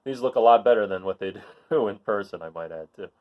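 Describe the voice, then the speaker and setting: A man's voice: lowish voice, fairly quick, dull audio. A man hosting a children's read-aloud, reading a picture book and chatting in an encouraging way, often putting questions to his listeners.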